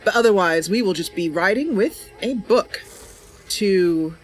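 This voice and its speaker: A woman hosting a casual gameplay livestream, chatty and giggly, with hesitant pauses. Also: medium pitch, expressive, poor audio. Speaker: livestream host. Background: game music and ambient sounds.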